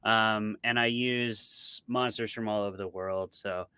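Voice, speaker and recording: A man's voice: fairly low pitch, fairly even intonation, unhurried, sharp tone, smooth, dry recording. A man being interviewed as an author, talking in a casual, explanatory and conversational way. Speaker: interviewed author, podcast co-host.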